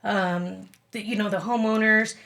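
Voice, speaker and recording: A woman speaking casually as a club guest in an interview, earnest and a little halting. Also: mid-range voice, steady tone, gravelly, fairly bright tone. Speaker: amateur radio club guest.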